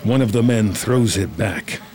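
A man's voice: on the low side, unhurried, noisy background. A man reading scripted screenplay narration as the narrator of a drama.

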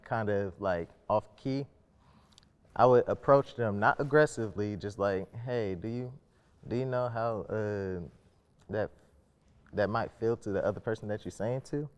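Young male student panelist, smooth lowish voice, informal and hesitant. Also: big-room sound. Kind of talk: casual panel answer.

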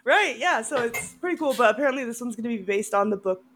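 A woman's voice: fairly high pitch, lively intonation, fast, background noise. A woman co-hosting a horror movie podcast in casual chat, often laughing as she talks.

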